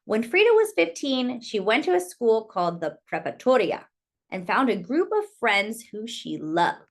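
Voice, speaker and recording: A woman's voice: a little high, sing-song, moderate pace. A woman reading a picture book aloud to young children, expressively and with little asides to her listeners.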